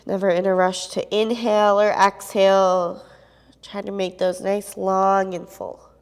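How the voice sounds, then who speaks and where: A young woman teaching a yoga class on a clip-on mic, giving calm, steady cues with a slight sniffle from a cold. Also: mid-range voice, unhurried, clear, resonant tone, long reverb tail. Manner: calm, steady cueing with soft fillers. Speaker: yoga instructor.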